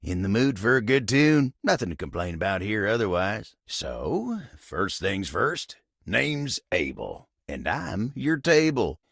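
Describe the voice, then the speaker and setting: Gravelly, folksy cowboy-like talking table. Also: male voice, lowish voice, very animated, a little slow, a bit bright, dry recording, studio quality, heavily acted. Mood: warm, welcoming. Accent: American country drawl. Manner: folksy, drawling, neighborly, chuckling. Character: friendly, humble, hard-working talking table with cowboy folksiness. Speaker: video game character. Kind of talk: video game voice lines, folksy dialogue.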